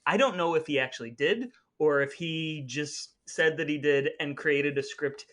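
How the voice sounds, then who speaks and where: A man's voice: on the high side, moderate pace, fairly bright tone. A man hosting a comedy podcast, bantering and reading aloud in an emphatic, mock-theatrical way. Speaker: podcast host.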